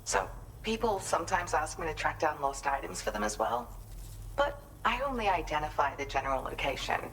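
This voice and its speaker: Casting: kind, grateful woman inventor for game cutscenes; lowish voice, polite and articulate, faint noise behind. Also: sing-song, a little fast, fairly bright tone, poor audio. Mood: friendly, explanatory. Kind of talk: scripted video game cutscene dialogue.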